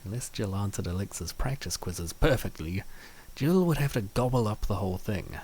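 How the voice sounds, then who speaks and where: A man's voice: fairly low pitch, expressive, normal speed, darkish tone, husky. A man reading scripted story narration as the narrator of a comic dub.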